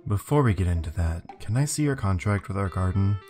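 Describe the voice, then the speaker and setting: A man voicing an aloof, curt young mercenary leader in an amateur video game dub, deadpan and terse. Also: sits low, fairly quick, soft background music. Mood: aloof, flat.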